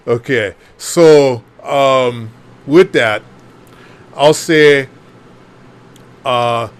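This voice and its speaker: A man's voice: medium pitch, extremely slow, smooth. A man in his fifties from Hawaii with a Hawaiian Pidgin-tinged accent, telling his story to a group in a chatty, self-deprecating way with lots of fillers and laughs. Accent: Hawaiian Pidgin-tinged English. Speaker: panel speaker.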